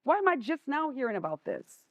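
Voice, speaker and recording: A woman's voice: high-pitched, very animated, brisk, no echo, highs cut off. A woman playing a stern, proud mother in a stage play, with a commanding and pointed way of talking. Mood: indignant, stern. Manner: commanding, pointed, formally clipped.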